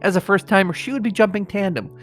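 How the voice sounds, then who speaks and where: A man's voice: sits high, fairly even intonation, fast talker, a bit bright, faint music behind. A middle-aged man co-hosting a comedy podcast, moving between mock-solemn reading and casual chat. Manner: breaks into laughter while trying to read gravely.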